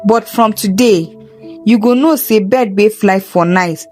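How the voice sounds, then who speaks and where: A woman's voice: mid-range voice, measured pace, darkish tone, faint music behind, lightly acted. A woman with a Nigerian accent voicing a menacing, magical old woman who speaks sternly in a folktale. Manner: stern, pronouncing curses.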